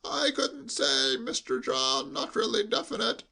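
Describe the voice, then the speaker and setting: A man reading a story aloud and voicing a timid old male butler with a high, bleating, quavering voice and a slow, deferential, hushed way of talking. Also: big pitch swings, gravelly, breathy edge, full character voice. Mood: timid, confiding.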